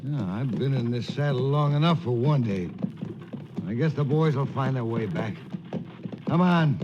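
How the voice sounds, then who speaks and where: An actor playing a gruff, sneering outlaw boss in a 1940s radio western. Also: male voice, medium pitch, expressive, normal speed, lightly acted. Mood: gruff, gloating. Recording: muffled, very noisy old radio recording.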